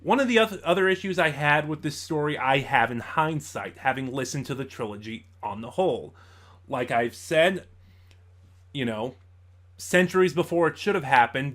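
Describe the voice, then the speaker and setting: Man giving a halting, conversational review. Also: medium pitch, a bit bright. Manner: halting, conversational, thinking aloud. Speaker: YouTube reviewer, host. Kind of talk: casual audio drama review commentary.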